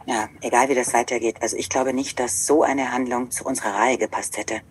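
An actress playing a calm, middle-aged radio play maker, speaking in a husky, measured, thoughtful way. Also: female voice, very deep voice. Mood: gently firm. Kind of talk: interview conversation in audio drama.

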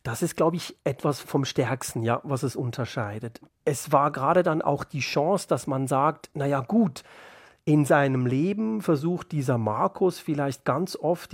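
A man's voice: mid-range voice, no echo. Thoughtful male author, dry close sound. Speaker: author, interview guest. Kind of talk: reflective interview talk.

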